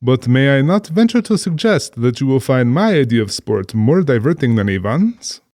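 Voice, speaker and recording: An actor playing a cultured, genially menacing general and big-game hunter in a dramatized audiobook, genteel, theatrical and condescending. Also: male voice, medium pitch, sing-song, moderate pace, darkish tone, no echo, studio quality, natural voice. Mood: coaxing.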